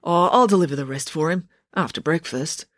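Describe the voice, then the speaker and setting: A woman's voice: low-pitched, a little fast, no room sound, clean studio sound. A woman reading a story, voicing a nervous, stammering teenage boy. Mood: urgent. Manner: stammers, hesitant.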